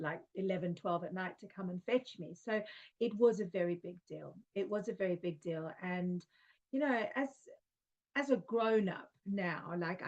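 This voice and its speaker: A woman in her forties from South Africa, a guest talking softly and warmly about her spiritual journey, with many restarts and fillers. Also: lowish voice, muffled recording. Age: 40s. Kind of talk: casual spiritual conversation.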